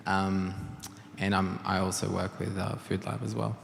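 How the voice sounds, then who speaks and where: Gravelly, flat-toned man. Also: deep voice, normal speed. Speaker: PhD student. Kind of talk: self-introduction at a panel event. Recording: noisy hall with reverb.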